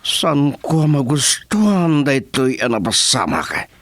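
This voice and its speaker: Domineering Ilocano crime boss, slow, swinging pitch. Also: male voice, big pitch swings, fairly bright tone. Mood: stern, demanding. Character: gruff male crime boss giving orders on the phone. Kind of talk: Ilocano radio drama acting.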